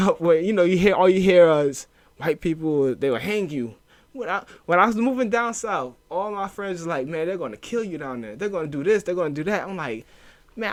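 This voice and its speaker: A man from the inner city who moved south, a guest talking in a loose, profane way with lots of fillers and lively retelling. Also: fairly high pitch, no echo. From US inner city, moved to Louisiana. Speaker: podcast guest. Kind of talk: casual chat.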